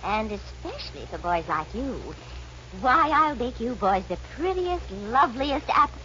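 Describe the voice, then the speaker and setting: An actress playing a feisty, coy old grandma in a 1940s Western radio comedy, theatrical and folksy. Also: female voice, on the high side, very animated, unhurried, bright, forceful tone, full character voice. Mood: doting, coy. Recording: noisy, dull 1940s radio recording.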